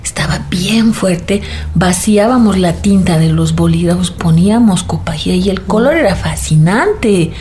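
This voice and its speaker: A woman's voice: fairly low pitch, animated, measured pace, warm tone, soft background music. A woman with a Bolivian accent, a singer-songwriter talking reflectively and conversationally in an interview. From Bolivia, mining camps.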